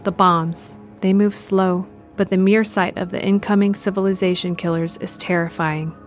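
A woman with a fairly low voice narrates a scripted audio drama. Her delivery is measured and descriptive, and faint music plays behind her. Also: fairly even intonation.

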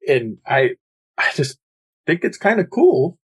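American male co-host, husky, lively intonation, casual and curious. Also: a little high, takes it slowly. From United States. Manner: curious, enthusiastic storytelling with animated swings. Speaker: podcast co-host. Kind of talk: casual conversation.

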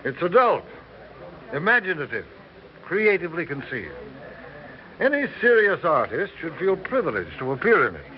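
An actor in a 1960s radio drama playing an effusive, florid, middle-aged performer who flatters to get work. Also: male voice, fairly high pitch, moderate pace, pauses often. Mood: gushing, ingratiating. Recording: dull vintage radio, party chatter behind.

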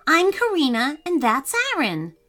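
A woman's voice: high-pitched, huge pitch swings, a little slow, bright, forceful tone, voice pushed up, full character voice. A woman voicing a curious, confident young girl in a children's story, speaking in an eager, direct way. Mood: friendly, eager.

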